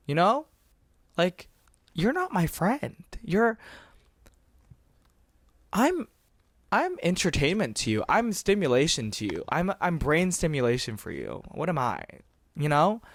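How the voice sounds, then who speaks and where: A man's voice: fairly high pitch, fairly bright tone, dry recording, clean studio sound. A man in his twenties, a podcast host raised in Texas and California, rambling passionately in a casual monologue with some swearing.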